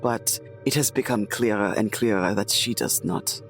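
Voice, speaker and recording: A man playing a tabletop roleplaying game, speaking in character in a measured, deliberate way. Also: fairly low pitch, a bit bright, faint music behind.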